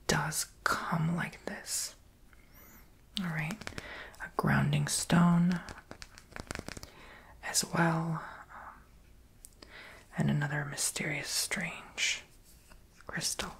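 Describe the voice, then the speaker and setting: A woman's voice: low voice, a bit bright, full character voice, rough recording. A woman whispering in an ASMR roleplay as a chatty, quirky, sassy crystal shop saleswoman. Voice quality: breathy whisper.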